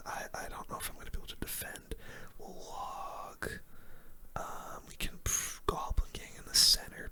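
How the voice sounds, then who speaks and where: Bright, breathy ASMR whisper, gaming host. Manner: hushed, casual, thinking aloud through play. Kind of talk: whispered ASMR game commentary.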